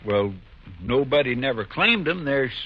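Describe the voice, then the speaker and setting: An actor playing an earnest, unlettered deputy in a radio western, with a drawling rural American accent. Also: male voice, mid-range voice, animated, moderate pace, natural voice. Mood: earnest, dutiful. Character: earnest, folksy, unlettered deputy sidekick, adult man. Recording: muffled, noisy vintage radio recording.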